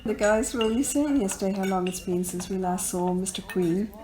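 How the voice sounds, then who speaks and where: A medium-pitched woman acting as a chatty pub server in an audio drama. She banters in a familiar, teasing way, with faint ambience behind her. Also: fairly quick, dark tone, a little hiss or hum, natural voice.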